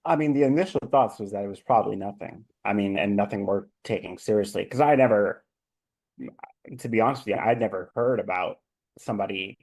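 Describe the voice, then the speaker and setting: A man's voice: lowish voice, fairly quick, a little mellow, poor audio. A man in his thirties from New York City, a guest telling his own story openly and reflectively, with frequent restarts. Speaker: life coach, guest. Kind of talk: conversational storytelling.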